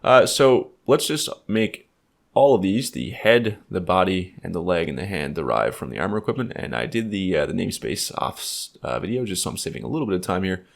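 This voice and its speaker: A man's voice: low-pitched, expressive, brisk, vocal fry. A man with a stuffed-up, slightly nasal voice, explaining code casually in a tutorial, thinking aloud with lots of fillers. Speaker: game development tutor. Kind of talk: screen-recorded coding tutorial.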